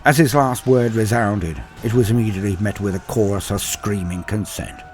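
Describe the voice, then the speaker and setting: A man's voice: on the low side, normal speed. A man reading a scripted war story as narrator, in a dramatic storytelling style. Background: faint music.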